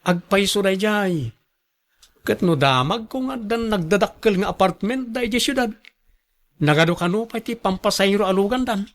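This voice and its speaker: A man's voice: high voice, normal speed, natural voice. A man with an Ilocano accent playing a lazy, dreamy husband who stubbornly boasts of coming riches in a radio drama. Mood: boastful, hopeful.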